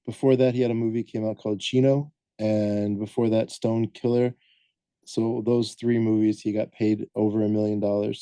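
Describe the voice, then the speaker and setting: Give me a man with a deep, very dark voice, rambling through a relaxed podcast chat with a dry close sound. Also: no room sound. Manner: rambling, hesitant, lots of "um" and self-corrections. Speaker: podcast co-host presenting the film research.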